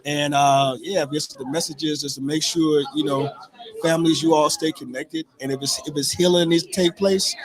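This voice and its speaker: A man with a slightly high voice gives a casual interview, with clear crowd chatter around him. He comes across as an informal, modest and sincere stage actor. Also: steady tone, moderate pace, poor audio. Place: on location at a theatre after a performance.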